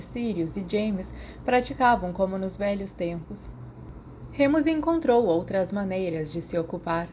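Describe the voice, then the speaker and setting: A woman's voice: medium pitch, darkish tone, a little hiss or hum. A Brazilian woman reading a story aloud as a scripted audiobook narration. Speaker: podfic narrator.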